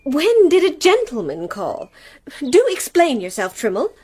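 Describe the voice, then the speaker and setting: A woman's voice: high-pitched, wildly swooping pitch, a little slow, darkish tone, full character voice. A woman acting a genteel, anxious wife and mistress of a country house in a radio play, polite but commanding with servants. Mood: puzzled, anxious.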